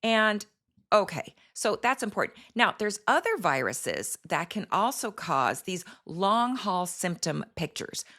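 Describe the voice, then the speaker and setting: A middle-aged woman doctor with a slightly hoarse voice, hosting a casual health talk and explaining in a chatty way with fillers. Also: mid-range voice, sing-song, a little slow, a bit bright. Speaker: doctor and health show host.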